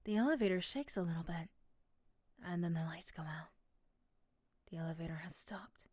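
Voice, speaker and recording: A woman's voice: on the low side, moderate pace, darkish tone, slightly rough, no room sound. A woman reading scripted second-person narration for an ASMR roleplay story.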